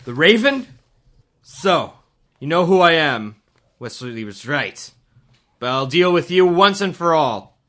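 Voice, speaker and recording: A man's voice: on the high side, sing-song, slow, pauses often, pushed and bright, full character voice. A man playing a domineering criminal doctor, theatrical and menacing, in a radio mystery. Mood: outraged.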